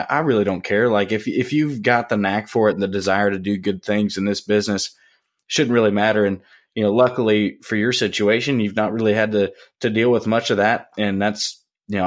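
Man, deep growly voice, loud, low pitch, podcast host. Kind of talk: casual interview chat. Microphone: studio podcast mic.

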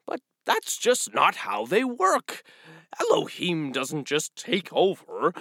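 A man's voice: fairly high pitch, takes it slowly, no room sound, clean studio sound, exaggerated voice. A man playing a chatty, earnest talking donkey who tells stories in a folksy way in a children's audio drama. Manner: animated, folksy storytelling.

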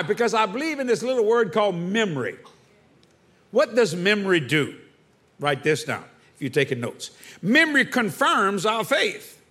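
A man preaching in a church with a high, lifted voice. His tone is bright and forceful. He keeps throwing questions at the congregation and demands their answers. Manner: call-and-response preaching, rhetorical questions to the congregation. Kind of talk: sermon.

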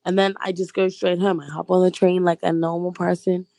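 Slightly hoarse, gravelly young woman. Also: fairly low pitch, no room sound. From Bermuda. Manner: relaxed, chatty, pauses with "um" and "like". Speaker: guest, stage actress. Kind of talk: casual interview chat.

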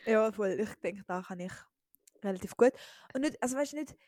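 A woman's voice: medium pitch, lively intonation, fairly quick, darkish tone, no echo, studio quality. A young woman in her twenties with an Eastern Swiss German accent, chatting casually as a podcast co-host, giggly and full of fillers. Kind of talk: casual chat.

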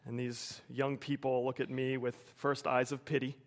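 An American man in his forties preaching a sermon with personal anecdotes, measured, with emphatic pauses and repeated phrases. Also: medium pitch, level tone. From United States, suburban Wilmington. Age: 40s.

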